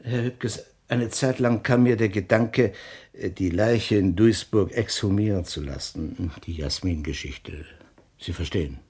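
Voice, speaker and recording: An actor playing a shrewd, suspicious police inspector in a 1960s German radio crime drama, speaking deliberately with sardonic, insinuating questions. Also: male voice, on the low side, darkish tone, slightly rough. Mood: probing, dry.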